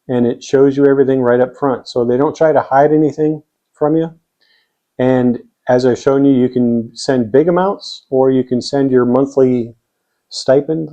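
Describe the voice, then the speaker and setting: A man's voice: mid-range voice, dark tone, highs cut off. An American man explaining things step by step in a plainspoken, casual vlog style. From United States. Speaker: vlogger. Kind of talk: casual explainer to camera or screen.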